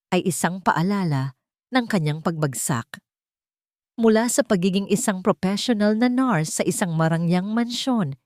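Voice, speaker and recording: Female Filipino storyteller, lower register, dry close sound, scripted dramatic narration. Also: a little mellow, no room sound.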